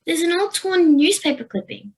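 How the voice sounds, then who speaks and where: A woman's voice: very high-pitched, very animated, normal speed, creaky, full character voice. An Australian girl of about ten playing a curious, anxious modern schoolgirl in an amateur radio play, recorded at home. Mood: intrigued. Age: 10 to 11, child. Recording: home video-call recording with music.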